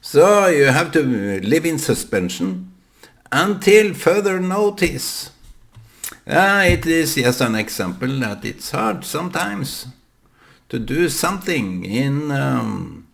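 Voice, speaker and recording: A man with a Norwegian accent muses aloud to the camera, a native Norwegian speaker working in English. He talks very slowly in a gravelly voice. He stops often, with many fillers and restarts. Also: on the high side, big pitch swings, a bit bright. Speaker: vlogger. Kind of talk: reflective solo monologue.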